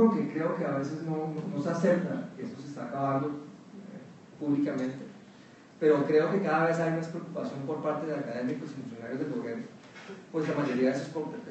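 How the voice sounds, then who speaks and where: A Colombian man with a fairly neutral accent gives a measured academic talk at a round table, pausing often with fillers and restarts. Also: on the high side, a little mellow. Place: conference hall. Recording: distant mic in a very echoey hall with faint room noise.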